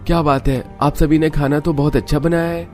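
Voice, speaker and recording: A man's voice: on the high side, steady tone, a little fast, a little mellow, soft background music, close to an everyday voice. A man playing a mild, agreeable young husband in a cartoon story. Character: polite, supportive young husband.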